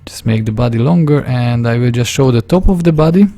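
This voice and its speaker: A man with a Hungarian accent talks through a drawing tutorial at a desk microphone. His voice is mellow and gravelly. He often pauses to search for words and corrects himself as he goes. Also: mid-range voice. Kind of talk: casual screen-recorded tutorial narration.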